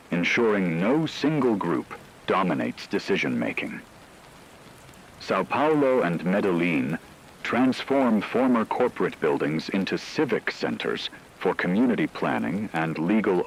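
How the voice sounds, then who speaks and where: A man's voice: on the low side, creaky, slight background noise, low-quality recording. A man reading a scripted, dramatized radio news report as an anchor, formal and grave.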